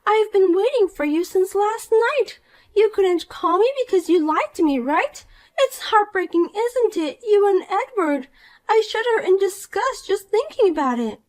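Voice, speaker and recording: A woman's voice: extremely high pitch, expressive, a little slow, a little mellow, pitched above the performer's own voice. A woman voicing a shallow, selfish, jealous young woman in a dramatized story, accusing and self-pitying. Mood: smug.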